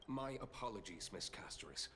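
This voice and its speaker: An actor playing a deferential, easily flustered city guard with formal speech in a video game. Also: male voice, on the low side, lively intonation, moderate pace, bright, forceful tone, a little hiss or hum. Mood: apologetic.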